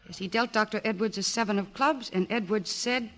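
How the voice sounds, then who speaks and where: An actress playing a composed, determined young woman psychiatrist in an old-time radio drama, speaking in a measured, articulate, earnest way. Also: mid-range voice, fairly bright tone, lightly acted. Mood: measured, careful. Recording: restored vintage radio, orchestral music behind.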